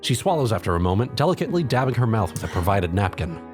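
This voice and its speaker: A man's voice: on the low side, sing-song, fast talker, over music. A man narrating a comedic story in the second person, as a dramatic reader.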